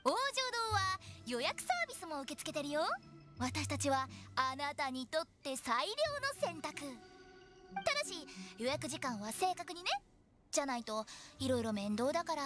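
An actress playing a playful, teasing young funeral director in an anime game, with theatrical, sing-song sales talk. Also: female voice, high voice, very animated, normal speed. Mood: teasing, sly. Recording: clean studio voice over game music.

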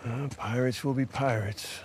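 A middle-aged man with an American accent playing a roguish, excitable older-brother treasure hunter in a video game, with a gravelly, teasing delivery. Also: mid-range voice, unhurried, slight background noise, close to an everyday voice. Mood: thoughtful. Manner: teasing, casual, enthusiastic. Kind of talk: video game cutscene acting.

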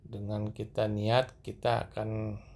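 A middle-aged man narrating a walking tour through a large mosque and onto its rooftop at night, gently addressing his viewers as he films. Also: fairly low pitch, completely flat delivery, takes it slowly, vocal fry. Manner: gentle, repeatedly addressing viewers as sir and madam. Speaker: vlogger guiding viewers. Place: large mosque, indoor escalators then open rooftop at night.

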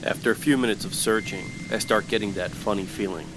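Man acting a low-voiced hard-boiled detective. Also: fairly quick, background noise, close to an everyday voice. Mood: wry, brooding. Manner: gruff, wry, world-weary. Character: hard-boiled, wisecracking male supernatural private detective. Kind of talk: noir first-person narration, old-time radio drama.

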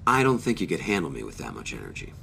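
A man's voice: extremely low pitch, huge pitch swings, quick pace, gravelly, sharp tone, full character voice. A man playing a dry, guarded companion in an audio drama, with a laconic, teasing way of talking. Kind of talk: naturalistic audio drama acting. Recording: faint background noise.

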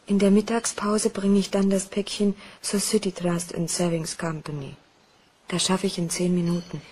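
An actress playing a nervous, hesitant young secretary, earnest and uneasy, in a crime radio play. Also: female voice, fairly low pitch, flat delivery, normal speed, warm tone, a little hiss or hum, natural voice. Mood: careful, compliant.

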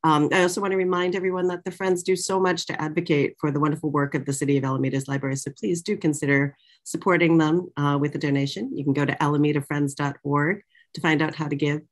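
Low-voiced middle-aged woman moderating. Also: steady tone, fast. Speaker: interviewer and moderator. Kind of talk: casual video-call conversation. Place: small office indoors. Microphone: video call computer microphone.

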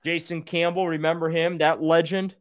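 A man's voice: on the high side, level tone, moderate pace, dry recording. A young man co-hosting a sports podcast from home, earnestly reading out statistics while nearly losing his voice. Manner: earnest, reading stats, defensive. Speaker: podcast co-host. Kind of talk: casual sports podcast banter. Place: small home room with display shelves. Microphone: earbuds and desk microphone on video call.

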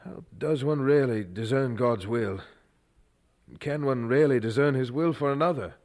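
An actor playing a devout, thoughtful young preacher in a radio drama, speaking formally and earnestly. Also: male voice, mid-range voice, moderate pace, a little mellow, lightly acted. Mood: pondering.

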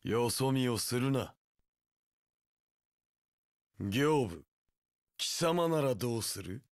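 An actor playing a vengeful, fanatically loyal young samurai warlord in an action game, with a harsh rasp and a theatrical delivery that bursts into screams. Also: male voice, mid-range voice, animated, drawn-out words, dry recording, studio quality. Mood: furious. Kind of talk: anime-style action game voice acting.

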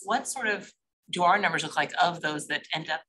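A woman on a school board asking quick, searching questions at a meeting. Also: low-pitched, pushed and bright, slight background noise.

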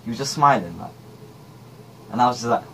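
A man's voice: mid-range voice, a little fast, slight background noise, low-quality recording. A teenage boy telling a casual storytime to camera, chatty and slangy, in a small bedroom. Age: teens. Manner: chatty, animated hand gestures, slangy. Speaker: vlogger. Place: small cluttered bedroom indoors.

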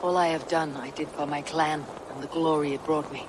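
Actress doing game viking warrior lady, husky n low, blunt, noisy ambience. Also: steady tone, fairly quick, lightly acted. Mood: sober. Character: battle-hardened Viking warrior woman, steady and blunt. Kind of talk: video game drama acting. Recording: background ambience under game dialogue.

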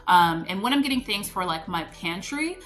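A woman's voice: mid-range voice, expressive, moderate pace, a bit bright, faint music behind. A woman in her thirties chatting to camera about stocking her pantry, explaining with her hands and laughing between points. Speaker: homestead vlogger. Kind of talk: casual chat to camera. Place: home room, later a grocery store and kitchen counter.

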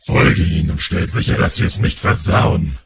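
A man's voice: low-pitched, normal speed, vocal fry, breathy edge, heavily acted. A man voicing a menacing, impatient monster boss in a cartoon fandub, commanding and threatening.

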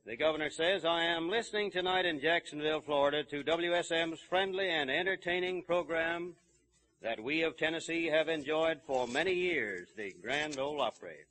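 A bright, forceful man's voice, pitched slightly high. He hosts a 1930s live radio show with folksy emphasis. The sound is that of a vintage broadcast recording. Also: normal speed, low-quality recording.

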